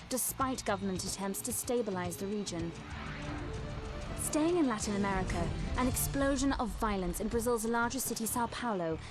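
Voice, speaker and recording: An actress playing a television news anchor, reading scripted bulletins in a formal, composed way. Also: female voice, a little high, lightly acted.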